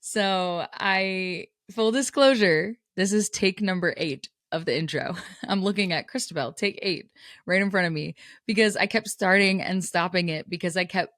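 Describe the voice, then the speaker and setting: A woman's voice: fairly low pitch, a bit bright, dry recording, clean studio sound. A woman podcast host, a little scratchy and tired from a cold, talking candidly and casually with frequent laughs. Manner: candid, chatty, laughs often.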